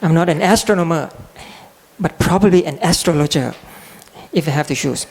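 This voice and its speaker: Gravelly Thai man giving a lecture. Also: fairly high pitch. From Thailand. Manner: halting, restarts and repeats words, clears throat often. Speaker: guest lecturer and translator. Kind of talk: public lecture.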